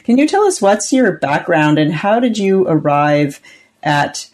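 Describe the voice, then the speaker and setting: A woman hosting an interview program, reading a book introduction and then asking measured questions. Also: lowish voice, sing-song, a little mellow. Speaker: interview host.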